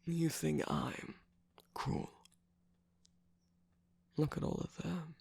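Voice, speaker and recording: A performer voicing a smug, seductive villainous cookie radio host in an ASMR romantic roleplay, slow, coaxing and teasing, with a breathy, gravelly voice that often drops to a whisper. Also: mid-range voice, steady tone, darkish tone, no echo, full character voice. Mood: sly, coaxing. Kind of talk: ASMR romantic roleplay, scripted character acting. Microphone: close microphone, dry.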